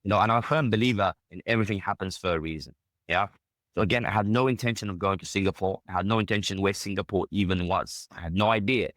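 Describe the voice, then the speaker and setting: A British man in his thirties with a low voice speaks at a brisk clip. He is a podcast guest telling personal stories casually and emphatically, peppering them with conversational tags. The recording is close and dry. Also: a bit bright, no room sound. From United Kingdom.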